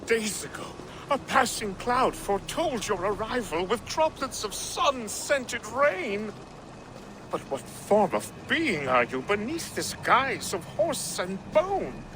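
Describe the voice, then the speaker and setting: Eccentric game druid: high, swooping delivery, ceremonious and spirit-devoted, over outdoor ambience. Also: male voice, big pitch swings, slow, background noise, full character voice. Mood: reverent, awed. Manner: ceremonious, theatrical, archaic phrasing. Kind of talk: video game character acting.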